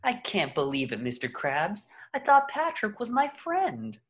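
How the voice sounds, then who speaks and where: A woman voicing an eager, excitable young male cartoon sea sponge with an earnest manner. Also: on the high side, measured pace, exaggerated voice. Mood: hurt, confused. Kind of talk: cartoon script voice acting.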